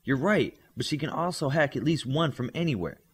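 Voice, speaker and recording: A man reading the part of a bossy, analytical child who directs a superhero team, in brisk instructions. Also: on the high side, lively intonation, slightly rough, pitched above the performer's own voice. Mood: confident, tactical.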